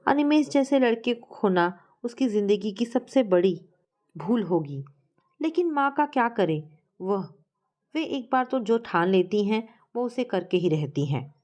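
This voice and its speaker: A woman's voice: medium pitch, a little mellow. A woman reading a scripted story as a storyteller, narrating in prose.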